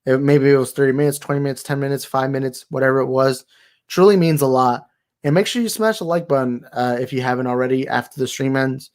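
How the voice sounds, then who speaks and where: A young man hosting a movie talk livestream, tired and slightly raspy, rambling and thanking his viewers. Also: mid-range voice, fairly even intonation, fast talker, darkish tone. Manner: rambling, grateful, repeats himself. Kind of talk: casual livestream sign-off chat.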